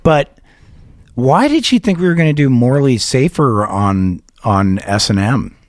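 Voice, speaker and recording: A man's voice: mid-range voice, lively intonation, a little slow, no room sound. A middle-aged man from Canada tells a rambling, profane story as a podcast co-host. He laughs his way through it, with plenty of restarts and side jokes. His voice is recorded dry and close. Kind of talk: casual chat and storytelling.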